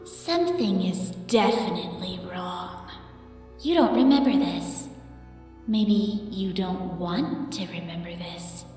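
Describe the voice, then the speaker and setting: A woman playing an eerie, taunting inner narrator in a comic dub, measured and ominous. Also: on the low side, lively intonation, dark tone. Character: eerie, taunting narrator voice haunting the hero's mind. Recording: echoey hall-like reverb, distant, faint music.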